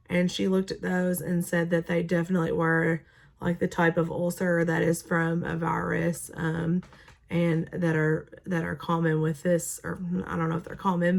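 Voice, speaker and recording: A young woman speaking in a naturally raspy voice that sounds even more hoarse now she is sick. Her pitch sits a little low. She rambles casually with lots of ums and restarts. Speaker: teacher. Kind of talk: personal vlog recounting illness.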